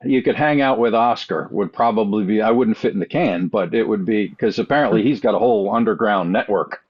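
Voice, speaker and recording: Middle-aged male panelist, slightly low pitch, halting but emphatic casual talk, dry and somewhat muffled sound. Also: slightly rough, no echo. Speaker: podcast panelist. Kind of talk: casual chat.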